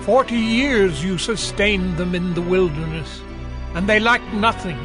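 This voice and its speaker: Slow, high-voiced solemn Levite. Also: male voice. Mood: solemn confession. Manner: solemn, declamatory. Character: devout ancient Levite praying solemnly aloud before the people. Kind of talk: audio Bible drama. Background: music.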